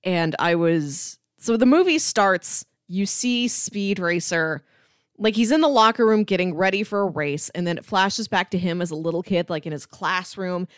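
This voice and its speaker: A woman's voice: on the low side, lively intonation, moderate pace, clean tone, dry recording, clean studio sound. A woman hosting a podcast, talking casually and enthusiastically about film with emphatic delivery. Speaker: podcast host.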